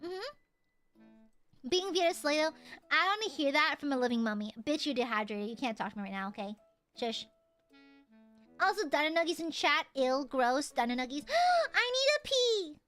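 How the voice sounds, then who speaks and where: A high, bright-toned woman streaming live, whose voice swings wildly between shouts and low asides. She is crude and teasing and swears freely. Soft music plays behind her. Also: normal speed, pauses often. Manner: animated, jumps between shouting and mock-serious mutters. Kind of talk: casual live-stream chat.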